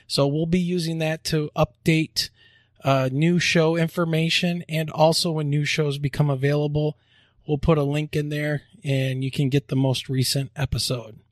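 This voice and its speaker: An upbeat man co-hosting a podcast, with a somewhat high voice. He chats casually and laughs easily, recorded close in a dry, dead room. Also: little pitch movement, normal speed, dry recording. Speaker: podcast co-host.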